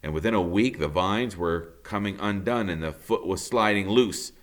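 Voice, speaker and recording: A man reading a children's storybook aloud as expressive narration, like a teacher to pupils. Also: sits low, moderate pace.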